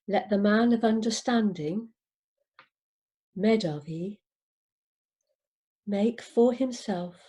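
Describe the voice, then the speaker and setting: Slow, mellow woman on a dull call recording. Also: mid-range voice. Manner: deliberate, pausing between short phrases. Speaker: community member reading scripture aloud. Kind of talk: measured read-aloud of Buddhist scripture. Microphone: video call over Zoom.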